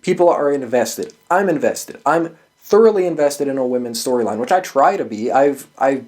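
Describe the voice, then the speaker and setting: A man's voice: mid-range voice, lively intonation, normal speed, a little mellow. A man hosting a show, giving casual, opinionated sports commentary in an emphatic, sweary way. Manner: profane and emphatic. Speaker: host of a wrestling prediction show.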